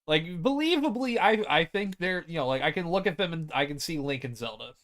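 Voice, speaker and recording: Guy with a bright sharp highish voice, casual podcast talk, kinda halting and hedgy. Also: lively intonation. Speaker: podcast co-host. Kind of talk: casual group chat.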